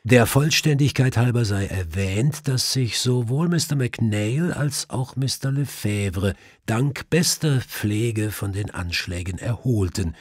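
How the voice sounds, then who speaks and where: A man's voice: on the low side, darkish tone, husky, dry recording, studio quality. A man reading scripted narration as the narrator of a detective audiobook.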